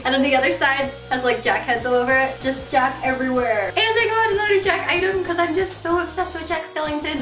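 A young woman vlogging casually, rambling and bubbly, a bit sick with a slightly deep voice, recorded by a camera across a small bedroom. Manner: bubbly, rambling, lots of "like" and "really". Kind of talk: casual haul video. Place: small bedroom with bare walls. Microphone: camera mic from across the room. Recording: roomy echo, voice a bit far from mic.

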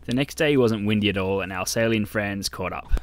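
A man giving brisk, matter-of-fact comments and narration in a casual sailing vlog. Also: lowish voice. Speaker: sailing vlogger.

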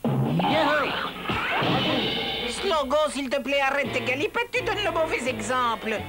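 A woman voicing a flattering, showy bird celebrity in a French cartoon dub, with theatrical, polite showbiz patter. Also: high voice, measured pace, loud music behind the voice, heavily acted.

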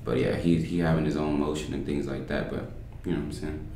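A young man from Kansas City, Kansas, talking about his rap music in a relaxed, loose podcast interview in a library room. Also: a bass voice, quick pace, slight background noise. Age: young adult. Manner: relaxed, loose, filler-heavy speech. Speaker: guest rapper. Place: library study room, indoors. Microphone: desktop microphone. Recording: echoey room.

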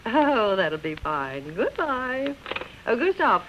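A society hostess in a vintage radio play, high-pitched and very animated. She is effusive, brisk and socially ambitious. The old recording is dull with faint hiss. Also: heavily acted. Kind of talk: 1940s radio drama acting.

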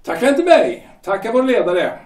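A man's voice: high voice, sing-song, darkish tone. A man playing a pompous lecturing director. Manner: lecturing, condescending, halting. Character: pompous middle-aged male shipyard director, party loyalist. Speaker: shipyard director. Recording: echoey room, distant mic.

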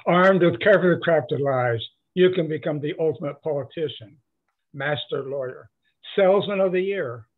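A man performs a grandiose professor giving a comic lecture. The voice is husky and slightly high, declamatory and gleeful, captured in dull lo-fi sound. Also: normal speed, natural voice. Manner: declamatory, salesmanlike. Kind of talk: comedy sketch lecture.

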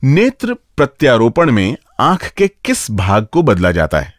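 A man's voice: mid-range voice, wildly swooping pitch, a little slow, no echo, clean studio sound. A man hosting a radio show, presenting scripted material in a playful, engaging way. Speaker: radio host.

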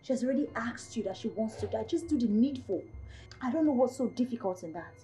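A woman plays a jealous, scheming mistress who swings between needy and coldly threatening. Her voice is a little high with a lively rise and fall, and soft music sits under it. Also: fast, lightly acted. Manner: needy, then cold and threatening. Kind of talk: amateur drama acting.

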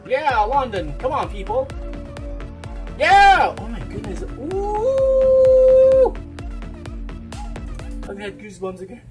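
A middle-aged man from the Philippines with a Filipino accent, excitedly reacting to a music video, laughing and whooping. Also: on the high side. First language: a Philippine language other than Tagalog. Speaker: reaction vlogger. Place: small room indoors. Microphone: webcam with headphones worn. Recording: distant mic with song playing behind. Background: loud pop music from the video.

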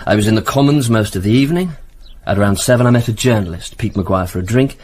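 A man's voice: on the low side, fast talker, darkish tone, slight background noise, natural voice. An English actor in a radio drama playing a self-important male politician with a clipped, defensive manner. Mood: curt, guarded.

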